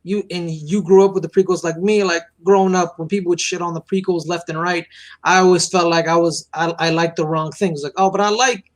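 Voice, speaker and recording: A man in his early twenties chatting on a podcast, excitable and slangy, stammering and repeating himself. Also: sits high, brisk. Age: 20s. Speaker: podcast co-host. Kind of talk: casual podcast conversation.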